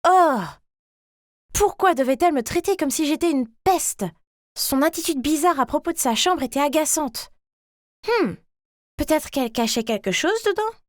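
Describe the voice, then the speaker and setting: A chatty young schoolgirl acted by a woman narrates a cartoon story about her life. The voice is very high and expressive, recorded dry with no room sound. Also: normal speed, no room sound. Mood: puzzled, slighted. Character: chatty, ordinary schoolgirl, overlooked younger sister. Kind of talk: animated first-person story narration.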